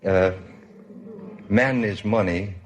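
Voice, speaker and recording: A man's voice: lowish voice, slow pace. A man answering briefly and a little hesitantly as a contestant on a quiz show.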